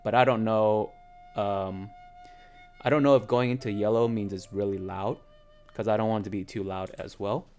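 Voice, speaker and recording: A man with a very low, deep voice talks casually into a desk mic at his home streaming setup, trailing off and restarting often with lots of ums and likes. Faint game music plays underneath. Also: fairly even intonation, moderate pace, darkish tone. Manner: rambling, hesitant, many fillers. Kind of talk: casual live-stream chat while gaming.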